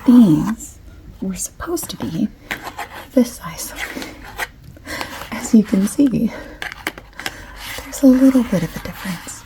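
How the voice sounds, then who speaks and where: A woman doing a soft-spoken ASMR shop assistant roleplay, often slipping into a breathy whisper as she explains things in chatty, unhurried detail. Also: medium pitch, animated, slight background noise, rough recording. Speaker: ASMR creator playing a perfume shop assistant. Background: light clicking and tapping of items.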